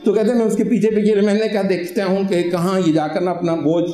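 A man in his forties with an Urdu accent, giving an animated Friday sermon at a mosque into a stand microphone, explaining teachings and reciting Arabic prayers. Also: high-pitched, a little fast. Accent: Pakistani Urdu. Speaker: imam. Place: mosque prayer hall, indoors. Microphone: stand microphone and lapel mic. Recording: roomy hall echo.

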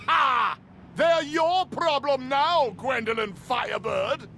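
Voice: high-pitched, slow, vocal fry, noisy background, exaggerated voice. An actor voicing a boastful role-playing villain king in a video game, with a theatrical, taunting delivery.

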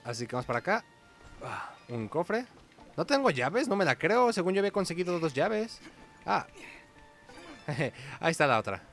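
A man's voice: a little high, big pitch swings, moderate pace, pauses often, fairly bright tone. Mexican man chatting over gameplay, music behind. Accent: Mexican Spanish. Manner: informal, chatty, hums little sound effects. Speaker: gaming video host. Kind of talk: casual gameplay commentary.

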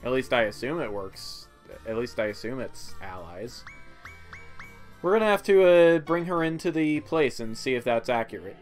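A man's voice: medium pitch, lively intonation, moderate pace. A man giving casual, rambling gameplay commentary with hesitant asides, in a laid-back way. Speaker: video game player and commentator. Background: video game music.

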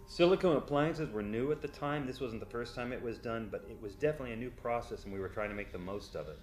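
A man's voice: mid-range voice, fast, a little hiss or hum. A middle-aged man, an effects artist, explaining his past work in an interview. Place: indoor room, seated interview. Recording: echoey room, faint music.

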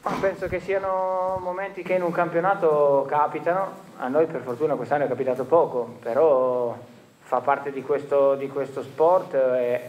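A man in his forties, a football coach answering questions at a post-match press conference in a stadium press room, speaking in a measured, reflective way with frequent restarts. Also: fairly high pitch, fairly even intonation, a little mellow, a little hiss or hum, poor audio. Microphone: table microphone.

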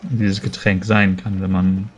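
Low-voiced man, measured and formal. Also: little pitch movement, breathy edge. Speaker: tabletop roleplay player. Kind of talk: improvised in-character dialogue.

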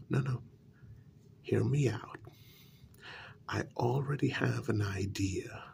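A man playing a flustered, apologetic talent agent in an ASMR role-play, speaking softly in a breathy, gravelly half-whisper with hesitant phrasing. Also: mid-range voice, full character voice. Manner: slow, hesitant, halting. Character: apologetic, easily flustered male talent agent for supernatural creatures.

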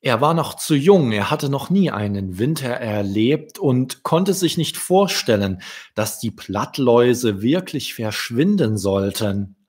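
A man in his thirties reading a story aloud to young children, gently addressing the listener directly. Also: medium pitch, very animated, slow. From Germany. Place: small room with wood-panelled wall. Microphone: desk microphone with pop filter, close.

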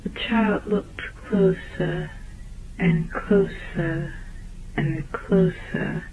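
A woman narrating a dark children's tale as a storyteller, with a soft, breathy, half-whispered delivery. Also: fairly low pitch, steady tone, extremely slow. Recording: muffled, noisy old tape, phone-like band.

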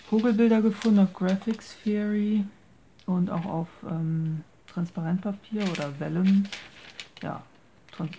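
A woman's voice: mid-range voice, dark tone, slight background noise. A woman giving a casual, hesitant show-and-tell, searching for words and mixing English craft terms into her speech. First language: German. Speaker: craft video maker presenting a handmade journal. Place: indoors at a tabletop.

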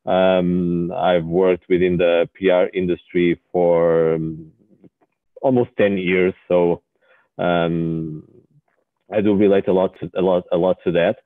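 Brief: man in his thirties, very low voice, halting, tinny call audio. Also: flat delivery, no echo. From Portugal. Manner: hesitant, repeats words. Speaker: investment manager. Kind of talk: panel introduction on video call. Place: indoors, office.

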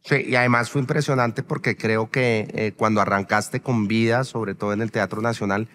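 A man's voice: fairly low pitch, steady tone, moderate pace, fairly bright tone. A man with a Colombian accent hosts a late-night talk show from a studio set. He asks his questions in a playful, teasing way, speaking into a headset mic. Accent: Colombian Spanish.

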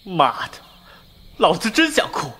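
An actor as a brash, flustered young man, swooping pitch. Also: big pitch swings, unhurried, pushed and bright, breathy edge, heavily acted. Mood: exasperated. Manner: crude, slangy, exclamatory. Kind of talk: audio drama acting.

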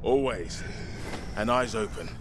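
An actor playing a weary, determined and wry apocalypse survivor in a dramatic video game cutscene. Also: male voice, lowish voice, unhurried, background noise, lightly acted. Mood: grave, determined.

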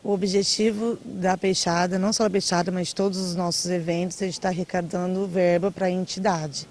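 Young woman interviewee, lowish casual voice. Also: level tone, moderate pace, a little mellow, a little hiss or hum. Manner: casual, chatty, with fillers. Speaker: marketing manager of a charity. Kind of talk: interview answers. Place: outdoors by a brick wall.

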